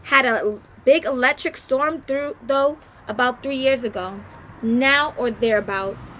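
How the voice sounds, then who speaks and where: A woman's voice: sits high, measured pace, fairly bright tone, a little hiss or hum. A woman voicing a chatty small-town diner counterman, folksy and matter-of-fact, in a storybook reading.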